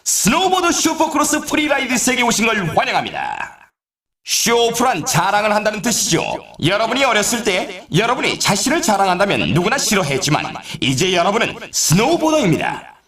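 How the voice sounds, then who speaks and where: An actor playing an excitable, theatrical male announcer at an extreme snowboarding event in a video game, delivering scripted hype with showy exclamations. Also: high-pitched, brisk, reverberant, crowd noise, heavily acted. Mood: hyped, grand.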